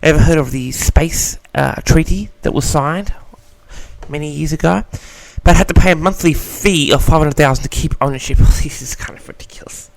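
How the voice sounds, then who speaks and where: A man's voice: on the high side, normal speed, creaky, no room sound, poor audio. A man hosting a casual audio log, reading out audience questions and answering them in a theatrical, mock-grand manner.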